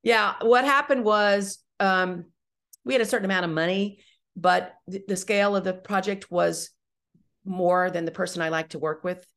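A woman's voice: medium pitch, normal speed, a bit bright. A woman giving conversational interview answers as a guest writer-director, explaining step by step and often checking in with the listener. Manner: explanatory, often checking with "right?" and "you know".

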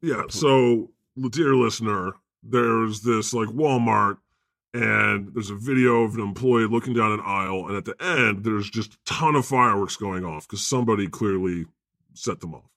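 A man's voice: lowish voice, normal speed, vocal fry, fairly bright tone, no room sound, clean studio sound. A man co-hosting a podcast with casual, irreverent, sweary comedic banter about the news. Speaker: podcast co-host.

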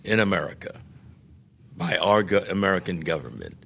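An older man speaking as a podcast guest, earnest and deliberate, saying his voice is going. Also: low-pitched, lively intonation, creaky, a bit bright, slightly rough. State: losing his voice. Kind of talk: interview conversation.

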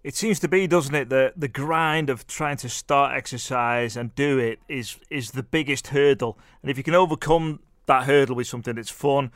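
A man's voice: mid-range voice, normal speed, few pauses, a bit bright, no echo, clean studio sound. A man hosting a casual interview, asking chatty, friendly questions. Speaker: interview host.

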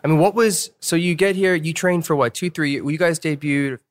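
A man's voice: a little high, brisk. Joking guy hosting a casual interview, chatty, throws in jokes between questions. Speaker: interviewer, host.

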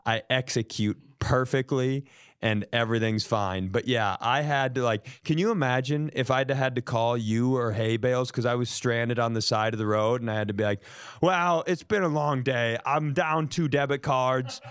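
A man's voice: medium pitch, fairly even intonation, moderate pace, clean tone, no room sound. A man tells a comic personal story in a very dry, close recording. He talks casually as a podcast host, swearing freely, playing up indignation and acting out both sides of exchanges. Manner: mock-indignant, profane, acting out quoted exchanges. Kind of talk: comic anecdote, casual banter.

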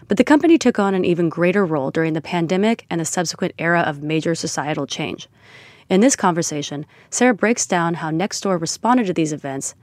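A woman host reading a scripted podcast introduction in an even, read-aloud way. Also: lowish voice, no room sound.

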